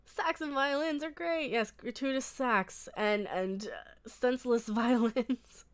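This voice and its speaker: A young woman hosts a live stream while sick, her voice stuffy and congested. Her tone stays smooth and clear in spite of the cold. She rambles through chat with viewers, laughing often. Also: fairly high pitch, takes it slowly. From Colorado. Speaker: livestream host.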